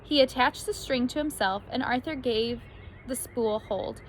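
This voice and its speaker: A woman reading a children's story aloud like a teacher, expressively, with animated emphasis on key words. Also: on the high side, unhurried, a little hiss or hum, poor audio.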